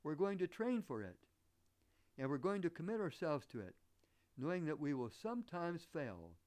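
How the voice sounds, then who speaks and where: A male preacher speaks in a slightly high voice with plenty of rise and fall. His American accent has picked up a Southern color. He delivers his sermon earnestly and deliberately, as someone teaching step by step. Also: very animated, a little mellow. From northern United States. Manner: earnest, deliberate, rhetorical questions. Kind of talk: sermon with illustrative anecdotes.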